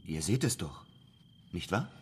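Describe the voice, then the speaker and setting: An actor playing a respectful, lovesick disciple of a master painter in a radio drama, earnest and deferential. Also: male voice, low-pitched, sing-song, measured pace, slight background noise. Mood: earnest, pleading. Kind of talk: literary radio drama, narration and dialogue.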